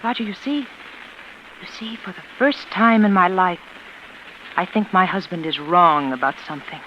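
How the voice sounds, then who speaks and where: Casting: actress for anguished ailing wife, emotionally intense, halting delivery, 1940s radio melodrama with dull hissy sound. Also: female voice, fairly low pitch, natural voice. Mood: pleading. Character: anguished, ailing former concert pianist wife, desperately insistent. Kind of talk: 1940s radio drama acting. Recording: muffled, noisy old radio broadcast.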